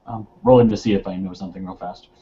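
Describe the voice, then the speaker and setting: A man's voice: low voice, fairly even intonation, fast talker. A man playing a tabletop roleplaying game, speaking casually and narrating his character's actions. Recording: dull lo-fi voice recording.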